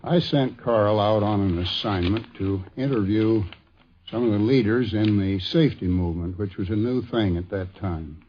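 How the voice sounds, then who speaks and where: Dull fifties radio tape, older guy with lowish voice reminiscing, halting and deliberate. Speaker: interviewee, former magazine editor. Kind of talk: anecdotal reminiscence. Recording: dull 1950s radio recording.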